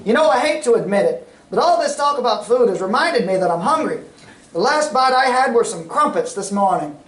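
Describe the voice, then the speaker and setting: A woman's voice: a little high, lively intonation, brisk, lightly acted. A woman playing the sensible, exasperated straight partner in an old-time radio comedy routine, prompting and scolding. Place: stage reader's theatre performance. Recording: echoey stage, distant mic, background noise.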